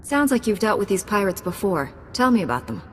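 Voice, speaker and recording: A woman playing a calm, principled young heroine, a Jedi Knight in a video game, speaking steadily, earnestly and diplomatically. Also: medium pitch, a little fast, a little hiss or hum, lightly acted. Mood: calm persuasion. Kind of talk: scripted video game acting. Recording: long reverb, game music behind.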